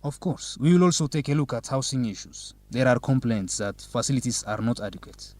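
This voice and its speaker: A man's voice: medium pitch, expressive, darkish tone, natural voice. Dignified, formal young student leader, male actor. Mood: formal, assured. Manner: formal, measured, politician-like. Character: dignified young male law student, newly elected council president. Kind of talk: scripted educational radio drama, interview answers.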